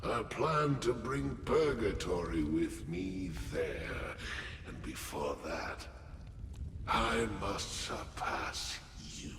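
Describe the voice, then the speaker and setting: An actor playing a grave, fatalistic warrior devoted to destruction in a game cutscene, with a gravelly, breathy low voice and solemn, theatrical delivery. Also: male voice, lively intonation, very slow, full character voice. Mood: grim resolve. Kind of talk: dramatic game cutscene acting. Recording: noisy, echoey, long reverb.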